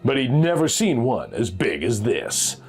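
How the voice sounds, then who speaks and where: Guy reading old pulp adventure story, suspenseful, really animated pitch, some music underneath. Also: measured pace, breathy edge. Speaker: narrator reading a vintage magazine story. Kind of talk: dramatic scripted narration of pulp adventure fiction. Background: background music.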